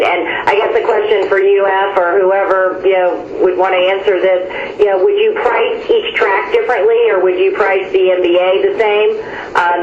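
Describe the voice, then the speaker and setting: A woman with a mid-pitched voice raises points tentatively in a conference call meeting. The sound is muffled and echoey, with faint line noise. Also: darkish tone. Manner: tentative, questioning. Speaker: meeting participant.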